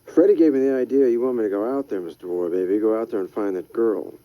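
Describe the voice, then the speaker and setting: A man's voice: fairly low pitch, fast talker, no room sound, highs cut off, close to an everyday voice. A man doing a plain, wary drifter. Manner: plain, questioning.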